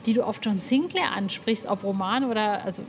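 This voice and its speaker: A woman's voice: medium pitch, brisk. A woman hosting a podcast interview in a casual, enthusiastic, slangy way.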